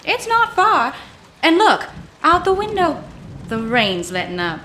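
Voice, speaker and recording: Radio-drama heroine: young, no-nonsense, high and very animated, slight background noise. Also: female voice, measured pace, heavily acted. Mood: brisk, reassuring. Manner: no-nonsense, wry, commanding. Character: brisk, plucky young woman, exasperated and practical. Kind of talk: old-time radio adventure drama acting.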